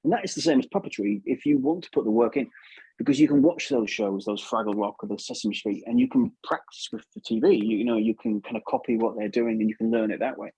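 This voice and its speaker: A man's voice: medium pitch, very animated, fast talker, vocal fry, mellow, husky, dry recording, poor audio. A man giving casual advice as an interview guest, chatty and repeating himself for emphasis. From England.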